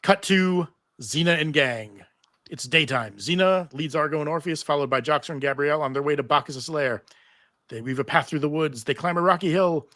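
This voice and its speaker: A man's voice: fairly high pitch, moderate pace, a bit bright, no echo, clean studio sound. A man reading stage directions as narrator in a live table read.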